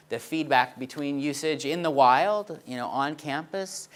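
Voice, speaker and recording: Man, research presenter, explanatory, fillers, "right?" checks, mid-pitched voice. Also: a bit bright. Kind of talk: conference presentation.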